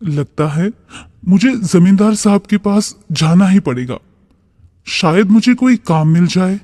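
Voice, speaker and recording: A man's voice: high voice, animated, a little slow, dark tone. A man playing a poor, worried village farmer in a cartoon story, plain-spoken and pleading. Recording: long reverb.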